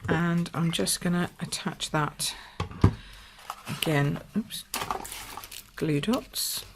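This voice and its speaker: A woman from the UK, slightly croaky, demonstrating a papercraft project at her table in a chatty, think-aloud way. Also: on the low side, very slow, very noisy. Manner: chatty, thinks aloud with fillers and small apologies. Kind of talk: casual craft tutorial. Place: indoors at a craft table.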